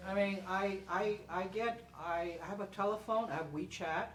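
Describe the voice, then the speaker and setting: A woman's voice: medium pitch, moderate pace. Emphatic woman in a roomy, distant recording. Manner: emphatic, repeats herself, checks in with "okay?". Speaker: panel speaker, performer answering an audience. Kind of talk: animated conversational storytelling to an audience. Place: indoor library event room, far microphone. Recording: roomy, distant event recording with faint noise.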